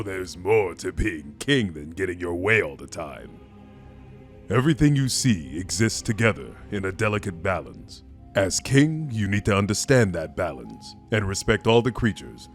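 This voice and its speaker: A man voicing a wise, solemn lion king, a patient father with a stately, measured, instructive manner. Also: sits low. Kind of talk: animated film character demo.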